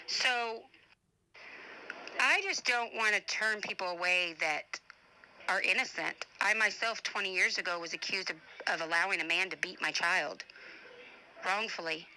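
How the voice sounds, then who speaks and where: A woman's voice: on the low side, unhurried, pushed and bright, slight background noise, low-quality recording. A middle-aged woman speaking in an informal voice-message monologue, blunt, indignant and defensive, swearing freely. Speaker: advocate and online broadcaster.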